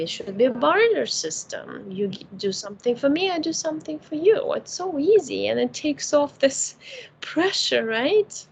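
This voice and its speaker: A woman's voice: medium pitch, creaky, a little hiss or hum, poor audio. A woman with a Ukrainian accent, a native Russian and Ukrainian speaker, talking casually about spiritual matters. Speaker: spiritual healer and host.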